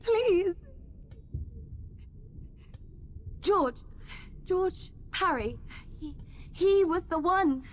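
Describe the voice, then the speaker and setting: High voice, slow, brittle captive office worker, dramatic radio play, faint noise. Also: female voice, expressive, full character voice. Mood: terrified pleading. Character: frightened but scornful captive office woman, pleading for mercy.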